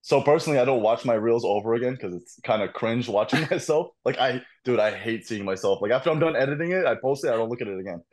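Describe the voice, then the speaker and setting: A young man, a podcast guest and content creator, telling animated stories in casual chat over a video call. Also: medium pitch, quick pace, hardly any pauses. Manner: animated storytelling, laughs often.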